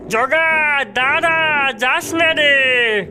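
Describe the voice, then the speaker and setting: A cautious, puzzled cartoon child. The voice is high and bright, with faint music underneath. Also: female voice, very slow, heavily acted. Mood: puzzled, worried. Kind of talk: cartoon character acting.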